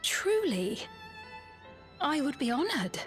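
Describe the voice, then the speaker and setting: An actress playing a gentle, formal young queen in a video game, speaking in measured, earnest, archaic phrases. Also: sits high, big pitch swings, soft background music, heavily acted. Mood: earnest, polite. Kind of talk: scripted video game cutscene acting.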